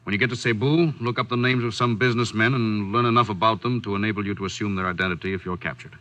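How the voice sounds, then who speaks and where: An actor playing a stern, resolute commanding general in a 1940s radio drama, formal and measured in speech. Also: male voice, on the low side, steady tone, bright, forceful tone, no echo, low-quality recording. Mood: instructing.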